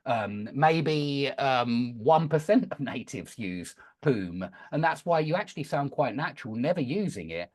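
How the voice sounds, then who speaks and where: A man teaching an online English lesson, explaining and gently correcting his student's mistakes. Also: mid-range voice, animated, unhurried, hardly any pauses. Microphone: video call.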